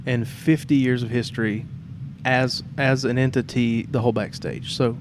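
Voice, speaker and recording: Guy hosting a podcast, laid-back chatty style, regular midrange voice, some clear background noise. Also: mid-range voice, little pitch movement, moderate pace. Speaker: podcast host. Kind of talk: casual podcast conversation. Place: shared studio space in a theater.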